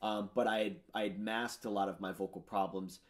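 A man's voice: on the low side, steady tone, a little fast. A man in his thirties talking casually about his own songs in a home studio, rambling with lots of fillers and enthusiasm. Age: 30s. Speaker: musician discussing his album. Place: home studio with purple lighting.

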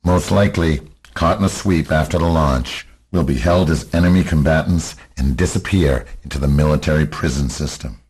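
Man acting a very deep, husky rebel leader. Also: moderate pace, darkish tone. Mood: grim. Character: hard, grim male rebel leader in a radio drama.

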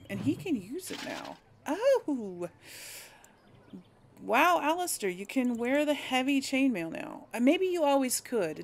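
Female gaming host, unhurried delivery, mid-range voice, hesitant and musing, casual commentary. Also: medium pitch. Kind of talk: casual game commentary, thinking aloud.

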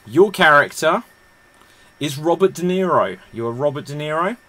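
A man's voice: a little high, lively intonation, moderate pace, pushed and bright. A man hosting an online improv comedy game over a video call, wearing a headset and announcing the rounds in an upbeat way.

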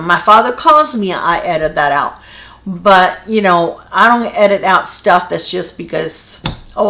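A woman's voice: lowish voice, pushed and bright. An older woman with a Cuban accent talking straight to the camera in a casual, earnest way, rambling a little and restarting her sentences as she chats to her viewers. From Cuba. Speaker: beauty channel creator. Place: small indoor room with a wall of decorative tiles.

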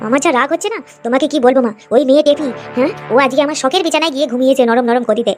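A woman's voice: high voice, racing, soft background music, lightly acted. A woman voicing a spiteful, scheming young stepmother who nags and complains in a whiny cartoon manner. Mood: spiteful, aggrieved.

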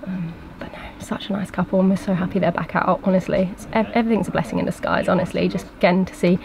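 A woman's voice: fairly low pitch, fairly even intonation, fast talker, vocal fry, background noise. A woman in her twenties chatting casually to camera inside a small boat cabin. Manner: chatty and enthusiastic. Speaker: sailing vlogger.

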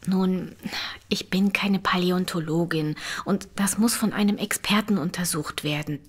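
An actress playing a courteous, careful doctor at a research station in an audio drama. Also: female voice, lowish voice, fairly even intonation, moderate pace, slightly rough. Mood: hesitant, insistent.

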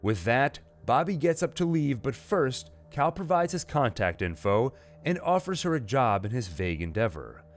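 A man's voice: mid-range voice, very animated, moderate pace, few pauses. A man narrating a scripted, dramatized story recap in the manner of a host. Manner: acts out quoted dialogue within narration. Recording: music under the voice. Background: dramatic music and sound effects.

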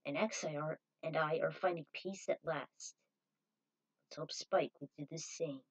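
A woman voicing a confident, easygoing male warrior in a story reading, measured and assured. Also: low voice, fairly even intonation, creaky, voice pushed down, exaggerated voice, low-quality recording. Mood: confident, relaxed.

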